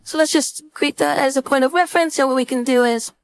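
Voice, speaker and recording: A woman instructor giving a casual screen-share software tutorial, informally thinking aloud step by step into a close mic. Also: high-pitched, fast talker. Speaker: design instructor.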